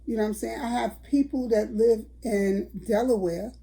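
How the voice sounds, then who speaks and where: An older woman tells a story to her viewers in a chatty, casual way. Her voice is raspy and gravelly, and it sits dark and mellow in tone. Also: fairly high pitch, fairly even intonation, moderate pace. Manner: chatty, addresses viewers as y'all. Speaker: vlogger.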